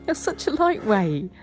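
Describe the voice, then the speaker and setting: A woman's voice: medium pitch, rough recording. An actress playing a cheeky, flirtatious woman who teases a colleague. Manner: teasing, playful.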